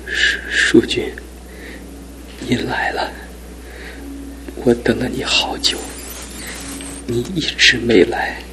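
A man's voice: medium pitch, flat delivery, takes it slowly, long pauses, bright, forceful tone, whispered, low-quality recording. An actor playing a young man injured in a fall, weak and despairing, in a radio drama with music behind. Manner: swings between faint murmurs and anguished cries.

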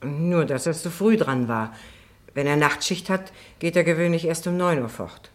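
Nervous female witness, low-pitched, timid, respectful, radio play. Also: animated, moderate pace. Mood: cautious, respectful. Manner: hesitant, deferential. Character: timid, anxious woman witness testifying in court. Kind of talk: German radio courtroom drama acting.

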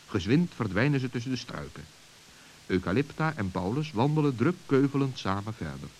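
A man's voice: mid-range voice, very animated, brisk, a little mellow. A man narrating a children's radio play as a storyteller from a script. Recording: old recording with faint hiss.

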